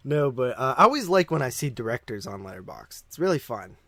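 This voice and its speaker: A man's voice: mid-range voice, big pitch swings, fast, bright, forceful tone, no echo, clean studio sound. A man co-hosting a podcast, chatting casually about movies in loose, conversational phrasing that often circles back on itself. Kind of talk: casual film review chat.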